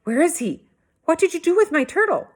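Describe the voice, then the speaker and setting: A woman's voice: very high-pitched, sing-song, a little fast, pitched above the performer's own voice. A woman voicing an exasperated, insistent school-age boy in a storybook read-aloud. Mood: frantic, indignant. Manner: insistent, pleading, prone to yelling. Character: frantic, exasperated school-age boy upset over his pet turtle.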